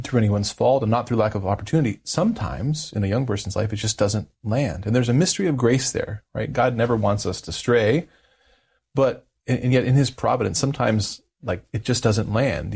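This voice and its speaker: A man's voice: fairly low pitch, gravelly, darkish tone, breathy edge. A man hosting a conversational interview, with tentative, circling phrasing and frequent check-ins with the listener. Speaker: interview host.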